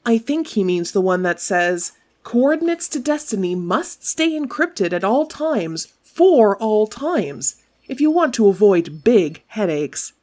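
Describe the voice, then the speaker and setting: A woman's voice: mid-range voice, sing-song, measured pace, a little mellow, slight background noise. A woman playing a sardonic, scolding colleague with a pointed, dry manner in a comic sci-fi audio drama. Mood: exasperated.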